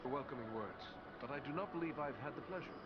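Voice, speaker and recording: An actor playing a noble ranger warrior in a game, steady and protective, with formal, courteous speech. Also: male voice, medium pitch, fast, highs cut off, heavy background noise, natural voice. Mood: curious, grave. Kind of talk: video game cutscene acting. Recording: loud music behind the voice.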